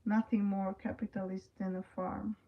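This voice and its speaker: A young woman livestreaming, reading chat messages aloud and answering them hesitantly, with many pauses and fillers. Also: mid-range voice, level tone, gravelly, narrow, tinny audio.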